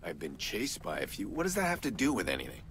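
Grim vigilante hacker, deep-ish voice, dry, terse, video game dialogue, clear background noise. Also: male voice, wildly swooping pitch, fast, a bit bright, heavily acted. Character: grim, guarded male vigilante hacker in his thirties. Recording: game dialogue over noisy outdoor ambience.

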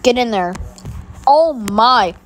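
A woman's voice: a little high, lively intonation, slow pace, a little hiss or hum. A woman voicing a bossy older boy who gloatingly announces punishments in a homemade skit.

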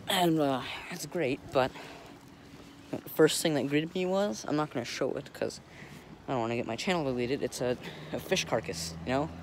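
A young American man rambling casually to camera in an offhand, easygoing way, recorded outdoors on a phone. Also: mid-range voice. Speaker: vlogger. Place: outdoors on a lake in a kayak and on shore. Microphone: phone held or propped close. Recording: noisy outdoor wind and water noise.

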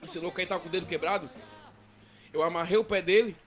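A man with a southern Brazilian accent telling stories in casual, animated, slangy podcast chat. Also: sits high, fast, faint music behind. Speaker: football coach. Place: small indoor podcast studio with several people at a table. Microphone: close studio mic on boom arm.

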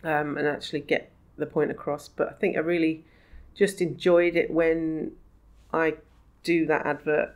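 A woman's voice: low-pitched, little pitch movement, moderate pace, darkish tone. A woman, a magazine publisher chatting as a podcast guest, laughing often and restarting her sentences. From England. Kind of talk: casual interview chat.

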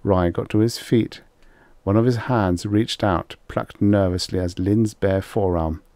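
A man's voice: low-pitched, steady tone, a little slow, mellow, no echo. A man reading vintage science fiction as an audiobook narrator, in scripted storytelling style.

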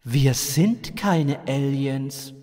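Man voicing a slow, solemn alien visitor. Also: mid-range voice, warm tone, in a large hall. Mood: solemn, measured.

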